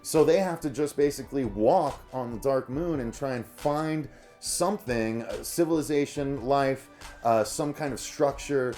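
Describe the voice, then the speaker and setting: A man's voice: medium pitch, unhurried, a little mellow, faint music behind. A man co-hosting a review show, talking to viewers in a casual, conversational way. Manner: conversational, addresses audience as "you guys". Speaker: co-host of a horror review show.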